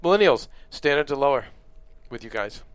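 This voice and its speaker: A man's voice: medium pitch, expressive, normal speed, clean tone, no room sound. A man guesting on a podcast in a studio, talking in a rambling way with many restarts. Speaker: podcast guest, show producer. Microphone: swapping headsets, first mic not working.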